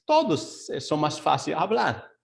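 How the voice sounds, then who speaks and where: A man leading a Bible study over a video call as pastor, mixing Portuguese with Spanish, slow and searching, with many fillers and asides. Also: on the high side, very animated, gravelly.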